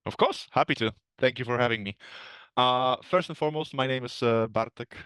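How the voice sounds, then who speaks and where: A man as a guest in a relaxed interview. He talks candidly and thoughtfully, laughing easily. His voice is smooth and resonant, and the sound is dry and close. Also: mid-range voice, fairly quick, dry recording. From Poland. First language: Polish. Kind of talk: casual conversation.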